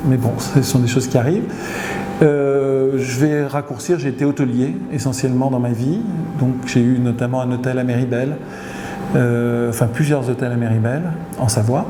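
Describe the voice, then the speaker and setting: A middle-aged man speaks at an ordinary mid pitch, answering interview questions as a company chief. He pauses and hesitates often as he works through his sentences. His voice rings out with a long tail of reverb in a big echoey room, with steady background noise behind him. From Tunisia. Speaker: company CEO.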